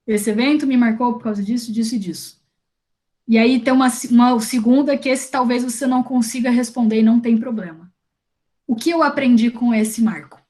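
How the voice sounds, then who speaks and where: A woman's voice: fairly high pitch, fairly quick, dark tone. A young woman with a Brazilian Portuguese accent leads a career workshop in a casual, slangy way, often restarting her sentences. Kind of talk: informal workshop talk.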